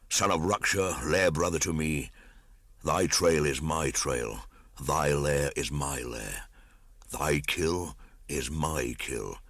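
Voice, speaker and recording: Man voicing a deep, loyal, solemn wolf. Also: fairly bright tone, no echo, heavily acted. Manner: solemn, measured, incantatory. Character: loyal grown male wolf, devoted brother, solemn.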